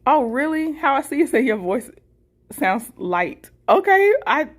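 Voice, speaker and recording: A woman's voice: sits high, very animated, a little slow. A woman hosting a casual livestream, reading viewer comments and responding in an informal, appreciative way. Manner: informal, appreciative, chuckles between remarks. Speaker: livestream host, content creator.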